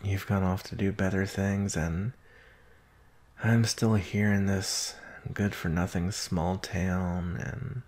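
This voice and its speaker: A young man playing an anxious, devoted werewolf in an intimate ASMR romantic roleplay, speaking hesitantly in a husky, breathy voice. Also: low-pitched, fairly even intonation, takes it slowly, a little mellow. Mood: anxious, wistful. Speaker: roleplay voice actor.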